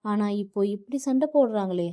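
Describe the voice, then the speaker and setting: A woman voicing a gentle, caring mother-in-law who coaxes softly. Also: medium pitch, moderate pace, no echo, studio quality, voice pushed up, close to an everyday voice. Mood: worried. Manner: gentle, coaxing.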